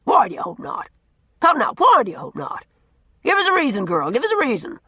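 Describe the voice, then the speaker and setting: A woman voicing a blustering, gruff old man who booms out orders and repeats himself as he teases. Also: wildly swooping pitch, brisk, pushed and bright, dry recording, studio quality, full character voice. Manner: booming, repeats himself, teasing.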